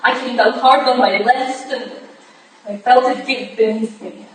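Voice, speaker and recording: A woman's voice: fairly high pitch, fairly even intonation, a little slow, natural voice. Echoey, desperate young ballerina played by a woman. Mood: anxious. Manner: urgent, defensive. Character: proud, desperate young foreign ballerina hiding an injury. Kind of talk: staged reading of a period drama. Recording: distant, very echoey room, faint noise.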